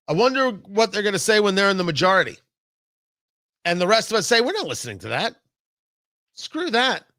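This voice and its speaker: An American man delivers political commentary in a bright, forceful voice that swings widely in pitch. He is emphatic, leaning on drawn-out stresses and repeated phrases, and the sound is close and dry. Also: dry recording. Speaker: political talk show host. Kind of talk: opinionated political commentary monologue.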